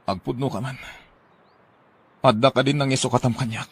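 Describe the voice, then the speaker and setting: A man playing Ray, a hurt but forgiving husband in an Ilocano radio drama, with a rough gravelly voice. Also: medium pitch, steady tone, moderate pace.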